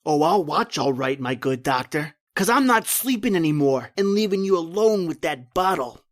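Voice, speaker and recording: An actor playing a hostile, suspicious castaway in an old-time radio horror drama, blunt and sneering. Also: male voice, fairly high pitch, expressive, moderate pace, close to an everyday voice. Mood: angry, defiant.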